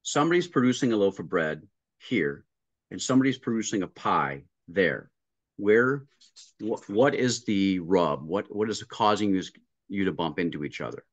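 A middle-aged man explaining over a video call, his lowish voice in dry, slightly muffled audio. He tells practical stories step by step, acting out both sides of the conversation. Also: animated, moderate pace, no room sound. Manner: explains step by step, acting out dialogue, frequent restarts. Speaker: restaurant consultant guest. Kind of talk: casual interview storytelling. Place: home room with a painting behind him.

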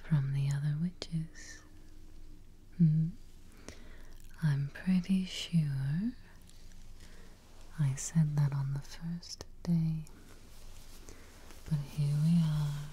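Very slow, whispering young woman. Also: low-pitched. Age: young adult. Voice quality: breathy whisper. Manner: gentle, soothing, reassuring, unhurried. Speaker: ASMR roleplayer as caretaking witch. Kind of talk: soft-spoken ASMR roleplay. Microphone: close microphone. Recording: faint background noise, soft ambience.

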